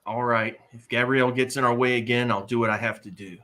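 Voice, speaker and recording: A man's voice: mid-range voice, fairly even intonation, fast talker, sharp tone, lightly acted. A man playing a bumbling, boastful, eager sidekick with blustering false bravado at a live fantasy table read.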